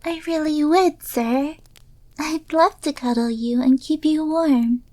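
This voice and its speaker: A woman's voice: sits high, slow, a little mellow, dry recording. A woman acting as a sweet, submissive, obsessively devoted young maid girlfriend in an ASMR roleplay, coy and eager to please. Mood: shy, eager.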